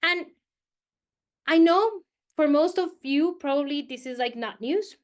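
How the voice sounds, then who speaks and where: High-voiced woman from Colombia lecturing. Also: unhurried. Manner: conversational, with fillers and emphatic repetition. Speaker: guest speaker, political scientist. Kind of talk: academic presentation to teachers. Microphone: video call.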